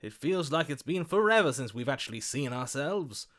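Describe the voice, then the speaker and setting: An actor playing a lovestruck, gallant romantic hero in a video game, speaking in a florid, swooning, melodramatic way. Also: male voice, medium pitch, very animated, moderate pace, a bit bright. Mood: grand, eager. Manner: florid, swooning, dramatic delivery. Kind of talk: theatrical video game voice acting.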